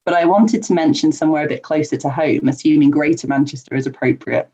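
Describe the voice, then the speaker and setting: A woman's voice: low voice, quick pace. Earnest woman guest on a muffled line. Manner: warm, earnest, frequent laughs and ums. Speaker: podcast guest, life coach. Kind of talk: casual interview answers. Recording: dull lo-fi remote audio.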